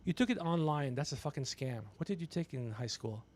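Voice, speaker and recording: A man's voice: fairly high pitch, expressive, a little fast, a little mellow, a little hiss or hum. A man in his fifties chatting casually on a livestream as host, brash and ranting, swearing freely. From Persian background. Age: 50s. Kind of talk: casual profane livestream chat.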